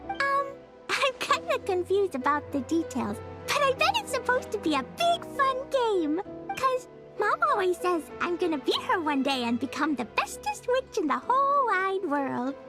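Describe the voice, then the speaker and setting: A woman's voice: very high-pitched, very animated, moderate pace, over music, full character voice. A woman voicing a sweet, innocent, playful little witch girl in an anime game. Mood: cheerful.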